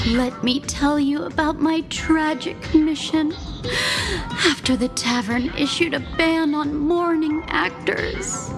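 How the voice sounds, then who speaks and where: A very high-pitched, mournful girl. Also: vocal fry, exaggerated voice. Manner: melodramatic, lamenting. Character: sorrowful, theatrical young female mourning actor. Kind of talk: video game character acting. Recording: music playing behind the voice. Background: game music.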